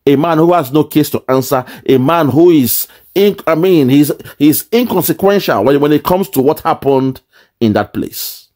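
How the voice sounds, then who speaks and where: A man's voice: on the high side, expressive, normal speed, a little mellow. A man commenting on a video clip, indignantly explaining and repeating his points with rhetorical questions.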